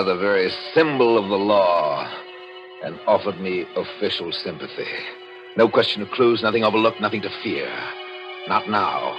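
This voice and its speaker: An actor playing a coldly scheming husband in a 1940s radio thriller, deliberate, menacing and smugly self-satisfied. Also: male voice, deep voice. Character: coldly scheming husband confessing his perfect murder. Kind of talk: 1940s radio thriller acting and first-person narration. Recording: dull old radio recording, music behind.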